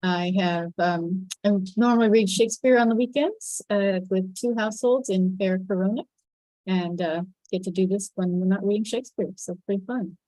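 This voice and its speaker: A woman's voice: mid-range voice, moderate pace, dark tone, no echo, rough recording. A woman on a video call, introducing herself and reading a script aloud. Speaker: actor reading stage directions.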